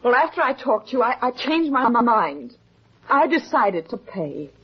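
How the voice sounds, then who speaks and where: An actress playing a frightened, defensive young murder suspect in a 1950s radio melodrama, halting and pleading. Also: female voice, a little high, big pitch swings, husky. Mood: anxious confession. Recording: dull, muffled vintage 1950s radio recording.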